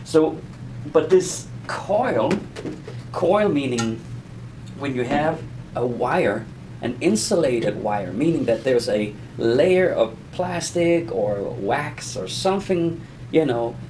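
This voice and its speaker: A man with a medium-pitched voice explains things in an informal lesson. He talks conversationally and haltingly, circling back and repeating himself. There is some light noise behind him. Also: lively intonation, a little mellow. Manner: halting, rambling explanations with self-repetition. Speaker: audio explainer teaching beginners.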